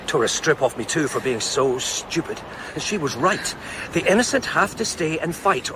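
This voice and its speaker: An actor playing a disgraced former policeman in a radio play, earnest, halting and persuasive. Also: a little high, close to an everyday voice. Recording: outdoor scene with clear background noise.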